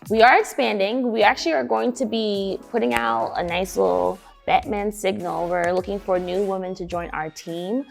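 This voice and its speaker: A woman's voice: mid-range voice, steady tone, normal speed, gravelly, few pauses, faint music behind. A young woman from Nigeria, a shoe brand co-founder, answering interview questions in an earnest, emphatic way.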